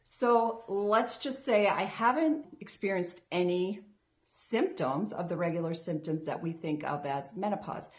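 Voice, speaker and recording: Earnest woman in her fifties, slightly low voice. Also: a little slow, low-quality recording. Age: 50s. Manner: earnest, chatty, with restarts and repeated phrases. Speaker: health coach, vlog host. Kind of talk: casual talking-to-camera vlog.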